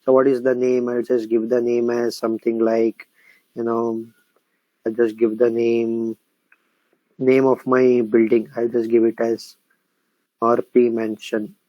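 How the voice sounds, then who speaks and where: A man with an Indian English accent talking through a screen-recorded software tutorial. He explains each step, repeats phrases while he types, and keeps checking in with "correct?" and "okay?". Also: medium pitch, little pitch movement, a little slow, long pauses, no echo, lo-fi sound. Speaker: software instructor.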